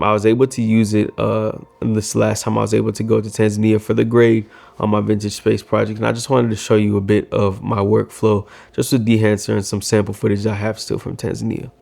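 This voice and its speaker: A man, a native Swahili speaker, reflects on his filmmaking in a casual vlog, candid and thoughtful about his own shortcomings. His voice sits low with a gravelly fry and little rise or fall in pitch. Faint music plays behind him. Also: completely flat delivery, brisk, darkish tone. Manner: thoughtful, candid self-critique.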